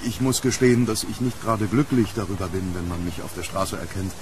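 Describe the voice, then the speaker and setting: Guy playing a troubled posh explorer type, formal, a bit deep, rain and thunder behind him, audio drama. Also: flat delivery, brisk, noisy background, lightly acted. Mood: reserved. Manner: polite, formal, brooding. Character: troubled, courteous gentleman archaeologist haunted by his past. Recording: rainy street ambience, thunder.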